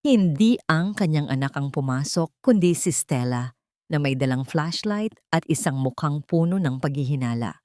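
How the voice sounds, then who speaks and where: A woman narrating a drama story in a slow, somber, suspenseful scripted manner. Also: low-pitched, expressive, a little mellow, dry recording, studio quality.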